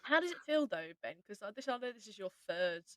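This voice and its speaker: A woman's voice: medium pitch, sing-song, fast talker, fairly bright tone, a little hiss or hum, rough recording. A woman who writes for an audio drama, chatting casually and informally about it with colleagues. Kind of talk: casual chat.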